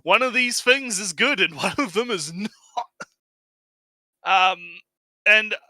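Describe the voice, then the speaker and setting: A man's voice: high voice, expressive, takes it slowly, pushed and bright, no echo. A man co-hosting a livestream with casual banter, rambling and often repeating his phrases. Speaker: livestream co-host.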